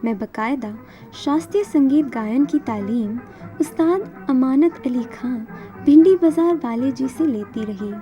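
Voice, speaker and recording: A woman's voice: high voice, animated, unhurried, dark tone, muffled recording, soft background music. A woman whose native language is Marathi, narrating her own life story from a script as a singer.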